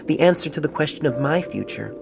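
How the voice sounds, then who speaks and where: A man's voice: animated, quick pace, gravelly. A man voicing a young mare in love as she tells of her feelings in a story letter, tender and wistful. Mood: tender, hopeful. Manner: tender, wistful, lightened pitch. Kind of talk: letter read aloud in story. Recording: homemade phone-mic recording with music.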